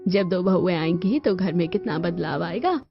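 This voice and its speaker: A woman's voice: fairly low pitch, animated, normal speed. A woman voicing a cautious elderly grandmother and mother-in-law in a cartoon story. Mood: cautioning. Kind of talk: Hindi cartoon story dialogue. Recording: dull lo-fi audio with music.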